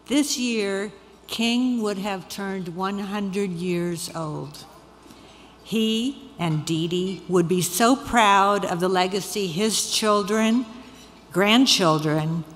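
A woman's voice: mid-range voice, fairly bright tone. A middle-aged woman delivering prepared opening remarks as an event chair at an awards ceremony, speaking into a podium microphone. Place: large event hall, on stage. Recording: hall reverb, background noise, podium microphone.

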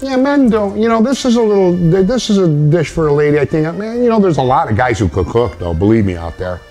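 A man's voice: fairly high pitch, big pitch swings, quick pace, dark tone, faint music behind. Older man asking questions in a kitchen. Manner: curious, asks many questions. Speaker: co-host. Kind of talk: casual kitchen chat. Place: home kitchen.

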